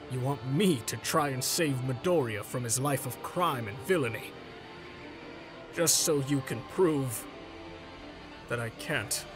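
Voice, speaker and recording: A man voicing a big, earnest, stubborn heroic mentor in a comic dub, deliberate and declamatory, over music. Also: medium pitch, close to an everyday voice. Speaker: voice actor in a fan comic dub. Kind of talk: dramatic comic dub acting. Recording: prominent music behind the voice.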